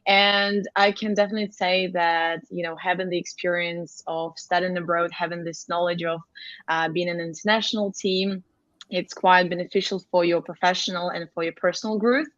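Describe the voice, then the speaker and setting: A woman speaks in a fairly low voice, giving a relaxed webinar talk. Her audio is dull and dry, and she often says "you know" as she explains. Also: moderate pace, no echo. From Russia. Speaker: head of agency relations. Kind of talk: webinar presentation.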